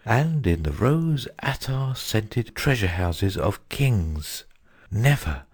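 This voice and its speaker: Woman voicing a pompous, deep-toned Phoenix. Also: darkish tone, slightly rough, no echo, clean studio sound, full character voice. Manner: pompous, old-fashioned, tart. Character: vain, grand old male Phoenix bird.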